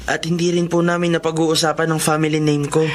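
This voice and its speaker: An actor playing a humble, kind, polite young student with a Filipino accent in a radio drama. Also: male voice, fairly high pitch, little pitch movement, fairly quick, natural voice. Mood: polite, surprised.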